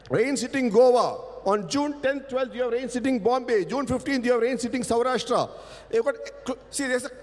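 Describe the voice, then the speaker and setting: Emphatic man, native Tamil speaker, in a hall. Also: sits high. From India. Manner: emphatic, rhetorical questions, stretches key words. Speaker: panel speaker. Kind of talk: panel talk. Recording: hall reverb.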